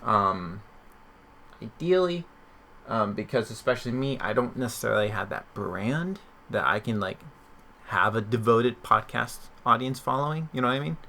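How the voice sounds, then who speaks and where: A man's voice: mid-range voice, expressive, moderate pace, gravelly, bright, forceful tone. A man hosting a podcast in casual chat, rambling and questioning himself as he talks. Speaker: podcast host.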